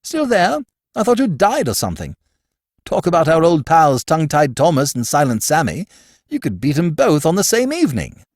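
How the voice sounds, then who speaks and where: A male narrator voices a breezy young upper-class gentleman in a storybook reading, pitched well above the reader's own voice. He chatters in airy, teasing slang with wide, bouncing swoops of pitch. The sound is close and dry. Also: wildly swooping pitch, moderate pace, no room sound, heavily acted. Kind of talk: audiobook character voice.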